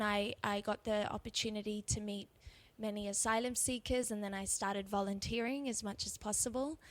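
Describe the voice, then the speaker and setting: A young woman with an Australian accent, an aid worker answering interview questions in a friendly, earnest way. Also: mid-range voice.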